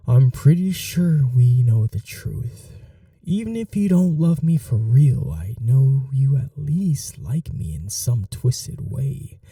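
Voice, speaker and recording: An obsessive male vampire , voiced by a man, whispers with a dark, gravelly tone and slow, lilting delivery. He turns from menace to desperate pleading in an intimate roleplay. The sound is dry and a little distant from the mic. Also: medium pitch, no room sound, full character voice. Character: obsessive yandere male vampire, grieving and possessive. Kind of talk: ASMR audio roleplay.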